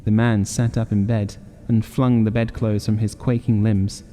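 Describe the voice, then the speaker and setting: A man narrating a scripted gothic horror audiobook in a measured, dramatic way. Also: on the low side, little pitch movement, dark tone, in a large hall, soft background music.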